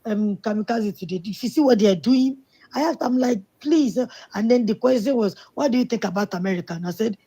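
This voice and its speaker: A woman's voice: fairly high pitch, brisk, mellow, husky. A woman on a live call-in discussion, speaking indignantly and emphatically. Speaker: call-in participant.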